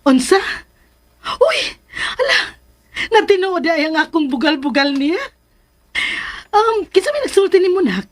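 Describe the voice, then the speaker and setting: A woman's voice: very high-pitched, sing-song, measured pace, long pauses, exaggerated voice. A woman playing a teasing, caring mother of a grown son in a radio drama, with a Cebuano Filipino accent. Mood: curious, amused. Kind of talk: Philippine radio drama acting.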